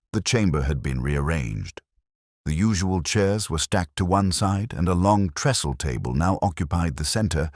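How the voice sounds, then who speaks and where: A man reads a mystery story with a measured, deliberate delivery. His voice is extremely deep, yet it moves with lively intonation. The sound is close and dry, with no room around it. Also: dry recording. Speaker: audiobook narrator. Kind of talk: scripted mystery story narration.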